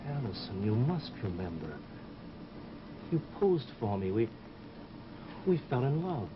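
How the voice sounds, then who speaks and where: An actor playing a troubled, insistent sculptor in an old-time radio drama, with an earnest, intense delivery. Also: male voice, medium pitch, sing-song, unhurried, noisy background. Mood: urgent pleading. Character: troubled, insistent male sculptor haunted by a dream. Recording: muffled old radio recording, loud music behind.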